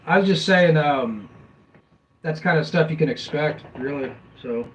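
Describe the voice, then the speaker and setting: A man's voice: a little high, a little slow, distant mic, a little hiss or hum, poor audio. Rambling man on a casual livestream. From USA. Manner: rambling, filler-heavy, reads messages aloud. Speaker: livestream host reading chat. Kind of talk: casual live chat.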